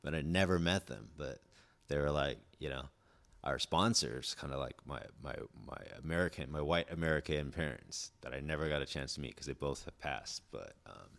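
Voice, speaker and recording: A man's voice: extremely low pitch, normal speed, creaky, hardly any pauses, a bit bright. A man in his forties, born in Indonesia and raised in Southern California, talking as a podcast guest in a laid-back, rambling way, full of "like" and "dude". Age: 40s. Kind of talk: casual chat.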